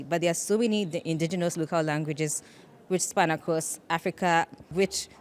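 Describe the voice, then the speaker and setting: A woman panelist with a legal background, speaking earnestly and emphatically in a discussion. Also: fairly low pitch.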